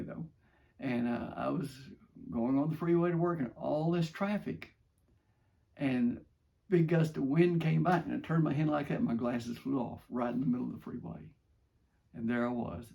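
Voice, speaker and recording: A man's voice: mid-range voice, vocal fry, a little mellow. An older man with an American accent, casually telling first-person stories in a rambling, modest, homespun way. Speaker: father telling stories from his youth.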